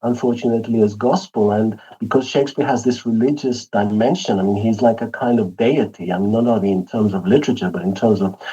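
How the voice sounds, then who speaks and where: A man speaks as a guest professor, earnest and discursive, often searching for the right word. His voice is mellow and slightly husky. The call audio is dull and lo-fi. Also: lowish voice. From England. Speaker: guest professor of English literature. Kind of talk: interview answer.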